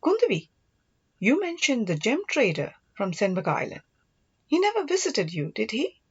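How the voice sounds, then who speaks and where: A woman's voice: a little high, huge pitch swings, normal speed, slightly rough, dull audio, exaggerated voice. A woman voicing a devoted, earnest young prince and older brother in an audiobook, reassuring and teasing. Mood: curious.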